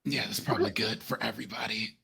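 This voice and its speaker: A man's voice: fairly low pitch, steady tone, fast talker, gravelly, bright, forceful tone, slightly rough, exaggerated voice. A man playing a smug vampire aristocrat, proud of his lineage, who talks in a glib, dismissive way. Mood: dismissive, snide.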